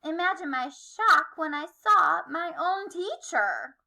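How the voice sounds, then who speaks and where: A woman reading a children's story aloud in expressive, rhythmic, sing-song rhyme. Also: extremely high pitch, takes it slowly, vocal fry, poor audio. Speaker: storybook reader.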